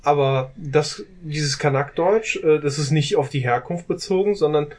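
A man's voice: fairly high pitch, normal speed. Talkative man tagging on "ne?". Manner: talkative, often adds a questioning "ne?". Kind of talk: casual group discussion.

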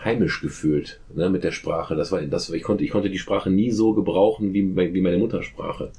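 A man's voice: low voice, steady tone, normal speed, warm tone. A man in a casual group discussion who tells personal anecdotes and asks blunt questions.

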